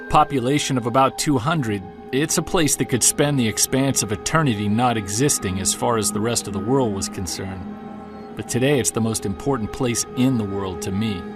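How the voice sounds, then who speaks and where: An actor playing an earnest, driven middle-aged investigator in an audio drama, speaking intently. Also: male voice, mid-range voice, lightly acted. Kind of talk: audio drama narration and dialogue.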